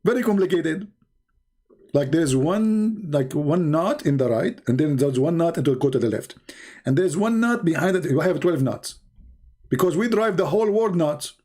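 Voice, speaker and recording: A man's voice: on the high side, expressive, moderate pace, mellow. A man with an Arabic accent hosting a live debate stream, taunting and sarcastic, laughing at his own jokes. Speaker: livestream debate host. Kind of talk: mocking livestream debate.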